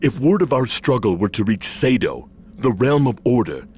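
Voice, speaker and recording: An actor playing a proud, defiant city overlord in a video game, with authoritative, formal and dramatic delivery. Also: male voice, medium pitch, sing-song, moderate pace, mellow, slightly rough. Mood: urgent, hopeful. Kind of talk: video game cutscene acting.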